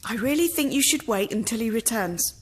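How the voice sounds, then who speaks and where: A woman's voice: a little high, expressive, fairly quick, slightly rough. A woman playing a wary, fair-minded young rebel in an audio drama. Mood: concerned.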